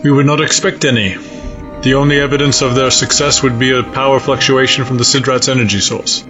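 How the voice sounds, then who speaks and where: A man playing a cold, resolute alien space-fleet admiral in a sci-fi audio drama, speaking in formal, measured, stiff diction. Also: mid-range voice, flat delivery, muffled recording, over music, natural voice. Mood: grave, resolute.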